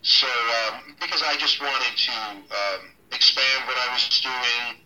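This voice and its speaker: A middle-aged man, a ring announcer, talking casually in an interview, relaxed and halting with many ums and restarts. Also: mid-range voice, recorded from a distance, a little hiss or hum, poor audio. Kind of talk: casual interview over a call.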